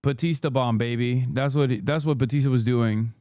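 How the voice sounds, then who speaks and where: A man streaming casual reaction commentary, profane, animated and rambling. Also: mid-range voice, normal speed, smooth, no room sound, studio quality.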